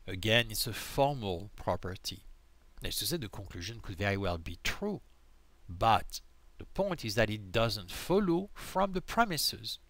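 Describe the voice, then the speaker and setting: A man's voice: lowish voice, sharp tone, dry recording. A man giving a recorded slide lecture, explaining step by step and checking in with "right?" as he goes. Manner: explanatory, frequent "right?" checks and self-corrections. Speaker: philosophy lecturer.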